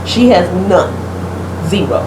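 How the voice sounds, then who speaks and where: A woman's voice: lowish voice, sing-song, takes it slowly. A woman co-hosting a casual talk show, sharing her opinions in an emphatic, plain-spoken way. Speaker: podcast co-host. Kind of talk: casual chat, giving opinions on poetry. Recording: roomy echo, clear background hum and noise.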